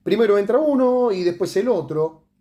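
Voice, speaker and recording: A man's voice: high voice, animated, moderate pace, dark tone, voice pushed up. A man voicing a pensive, blunt police commissioner in an audiobook story, terse and musing, repeating himself. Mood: questioning.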